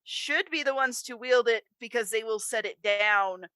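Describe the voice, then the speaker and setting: A woman's voice: fairly high pitch, normal speed, bright, forceful tone, dry recording. A woman co-hosting a podcast, chatting casually about a film in an emphatic way with exasperated asides. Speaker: podcast co-host. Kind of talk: casual chat, film discussion.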